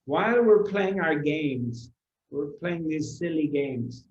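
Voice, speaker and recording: Slow-spoken man teaching, roomy recording. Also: a little high, expressive, dull audio, slight background noise. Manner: unhurried, repeats phrases and asks rhetorical questions. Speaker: spiritual teacher. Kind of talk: spiritual discourse to a live audience.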